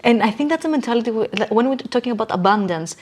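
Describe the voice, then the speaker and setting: A woman's voice: a little high, expressive, racing, a little mellow. A woman guest in an interview, explaining her ideas conversationally in emphatic, short declarative phrases and repeating her key points.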